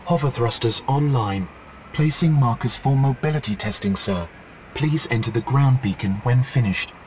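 An actor playing a polite, composed artificial intelligence butler in a video game, formal, measured and deferential. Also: male voice, mid-range voice, slight background noise, natural voice. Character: polite, composed male AI butler of a high-tech suit.

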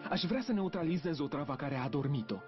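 An actor playing an earnest, brave, lovestruck young prince in a dubbed cartoon, with an ardent, declamatory delivery. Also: male voice, on the high side, animated, close to an everyday voice. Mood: pleading, grateful. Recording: dull lo-fi dub with music.

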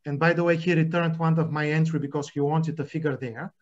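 A man's voice: fairly high pitch, little pitch movement, brisk. A man, a journal editor on a video call giving advice at an academic workshop, with many hesitations and restarts. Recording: muffled video call audio.

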